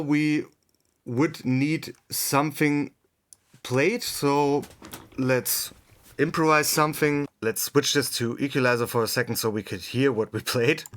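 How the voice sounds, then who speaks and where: A man who is a native German speaker, hosting a music production tutorial in English, unscripted and halting as he thinks aloud while demonstrating. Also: medium pitch. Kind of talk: unscripted software tutorial narration.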